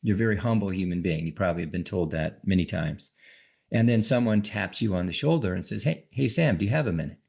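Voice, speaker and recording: A man's voice: low voice, lively intonation, quick pace, gravelly, darkish tone, slightly rough. A man hosting an interview, enthusiastic and repeating his words as he talks. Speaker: interviewer host. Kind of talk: interview chat.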